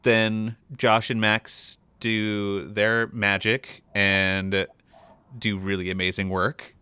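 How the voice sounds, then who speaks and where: A man's voice: sits low, bright, forceful tone, smooth, no room sound. A man chatting casually and enthusiastically as a sound editor explaining a production process. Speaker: sound editor on an audio drama.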